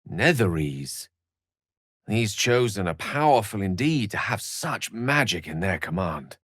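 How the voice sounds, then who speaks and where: British wizard, fantasy game, eloquent, wry, courteous, theatrical, animated intonation, dry studio sound. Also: male voice, fairly low pitch, unhurried, dry recording, full character voice. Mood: grave, measured. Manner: articulate, erudite, theatrical phrasing. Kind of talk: dramatic fantasy game dialogue.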